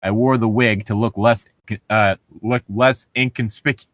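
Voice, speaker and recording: A man's voice: fairly low pitch, fairly even intonation, a little slow, a bit bright, no echo. A man casually riffing and reading aloud in a jokey, sarcastic manner. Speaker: host of a creepypasta reading show.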